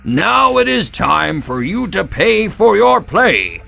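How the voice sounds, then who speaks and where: Sly, wildly swooping villainous wagon master. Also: male voice, on the high side, creaky, bright, forceful tone, exaggerated voice. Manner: slow, drawn-out, menacing delivery. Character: sly evil wagon master, a grown man, gloating. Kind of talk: animated children's story acting.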